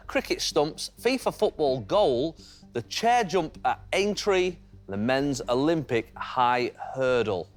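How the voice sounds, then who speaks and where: Man, Manchester accent, game show host, bouncy lively delivery, fairly high voice, faint music behind. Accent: Manchester English. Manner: chirpy, encouraging, builds suspense. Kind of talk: upbeat quiz show hosting. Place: TV studio.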